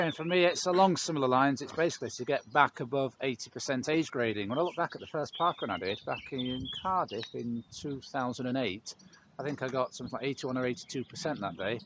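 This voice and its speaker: A middle-aged man co-hosting a running channel, chatting to camera in a relaxed, reflective way with dry humour. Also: medium pitch, noisy background. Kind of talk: casual chat to camera.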